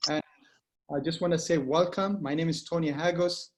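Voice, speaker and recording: Earnest Ethiopian-accented man, high voice. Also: fairly even intonation. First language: not English. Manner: earnest, halting with frequent restarts. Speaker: guest speaker. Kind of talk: motivational talk. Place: Pretoria home.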